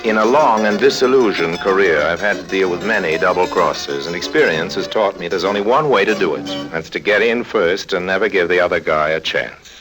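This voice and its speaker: A man acting a world-weary American rogue in an old radio crime drama. His voice is low and smooth-talking, with wry humour, on a vintage radio recording. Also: brisk, few pauses, over music, close to an everyday voice. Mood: wry storytelling. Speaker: lead actor and first-person narrator.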